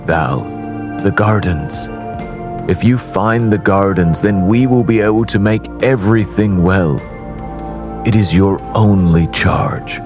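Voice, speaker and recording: A man's voice: low-pitched, voice pushed up. A man voicing a solemn, urgent father who pleads with his daughter, in a tabletop game.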